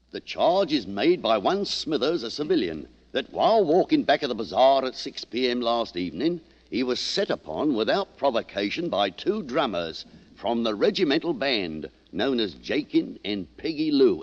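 Echoing old-radio sergeant. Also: male voice, mid-range voice, very animated, moderate pace. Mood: formal. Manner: formal, official. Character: dutiful British army sergeant reading out charges. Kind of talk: 1940s radio drama acting. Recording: reverberant dull old radio.

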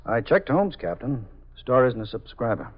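A man with an American accent playing a brisk, efficient desk lieutenant, clipped and businesslike, in a 1950s radio drama. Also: mid-range voice, big pitch swings. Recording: muffled 1950s radio recording.

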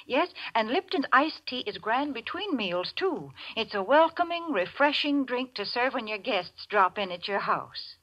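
A woman announcer giving a polished, emphatic advertising pitch in 1940s radio style. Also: sing-song, a little slow, hardly any pauses, a bit bright. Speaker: commercial announcer. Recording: dull vintage radio recording.

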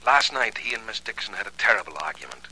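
An actor playing an older, loyal family gardener with a folksy rustic way of talking, in 1940s radio drama style, on an old broadcast with faint hiss. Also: male voice, fairly low pitch, very animated, fast, sharp tone, full character voice, low-quality recording. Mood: worried.

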